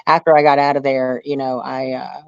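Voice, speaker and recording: A woman's voice: rumbling low, level tone, brisk, darkish tone, clear, resonant tone, dry recording. A woman speaking earnestly as an interview guest, telling her story casually and often saying "you know". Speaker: interview guest, reality survival show contestant. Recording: dull lo-fi audio.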